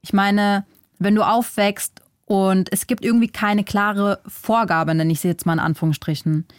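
A young woman from Germany, an author whose first language is Vietnamese, talks through her thoughts in a casual interview. She has a middle-pitched voice and often restarts mid-sentence as she searches for the right word. Her voice is close and dry, with no room sound around it. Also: steady tone, moderate pace, clean tone, no echo. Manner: reflective, thinks aloud with small restarts.

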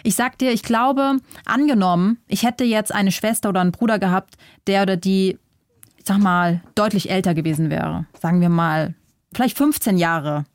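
A woman's voice: medium pitch, normal speed, no echo. Reflective German-born woman author, dry sound. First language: Vietnamese. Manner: reflective, thinks aloud with small restarts. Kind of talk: casual interview talk.

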